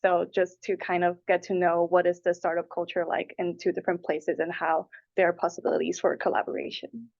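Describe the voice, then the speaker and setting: Young woman with a lowish voice, muffled recording. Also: little pitch movement, fairly quick, few pauses, a little mellow. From Taipei and Hong Kong. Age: 20s. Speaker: interviewee, applicant. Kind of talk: answering interview questions. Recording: dull, lo-fi audio.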